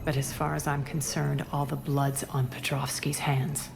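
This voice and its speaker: A woman's voice: extremely low pitch, little pitch movement, moderate pace, gravelly, background noise. A woman playing a ruthless, haughty alien crime queen in a video game, speaking with cool deliberation. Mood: menacing confidence.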